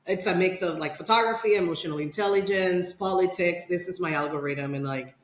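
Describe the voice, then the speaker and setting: A woman's voice: fairly low pitch, fairly quick. Middle-aged woman guest, rambling story, roomy echo. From Dominican Republic. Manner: rambling, many fillers and restarts. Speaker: podcast guest. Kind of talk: casual personal storytelling. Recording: echoey room.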